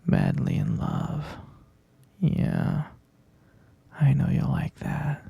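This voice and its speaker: Breathy, gravelly man acting an obsessive lovesick kidnapper. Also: fairly high pitch, no echo, full character voice. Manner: soft breathy half-whispered coaxing, repeating himself. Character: obsessive lovesick male kidnapper, soothing and possessive yandere. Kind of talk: intimate ASMR roleplay.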